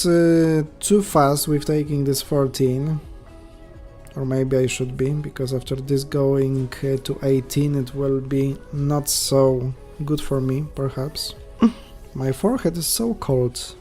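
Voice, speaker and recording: A man's voice: on the high side, takes it slowly, dark tone, faint music behind. Polish-accented man commenting his live games. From Poland. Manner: thinks aloud, chatty asides to viewers. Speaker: checkers streamer.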